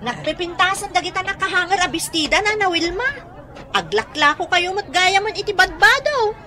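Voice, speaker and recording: A woman's voice: very high voice, expressive, normal speed, noisy background. A woman playing a vain, cheerful, giggly neighbour in an Ilocano comedy radio drama, with an Ilocano accent. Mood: admiring, giggly.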